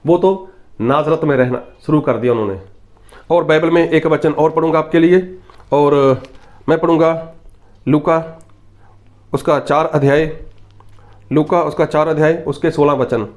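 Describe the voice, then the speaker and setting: A preacher from Punjab speaks with a fairly high-pitched man's voice. He teaches by repeating his points and asking rhetorical questions, and he reads Bible passages aloud in an emphatic sermon style. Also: unhurried, long pauses, a little mellow. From Punjab, India. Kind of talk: Hindi sermon with Bible readings.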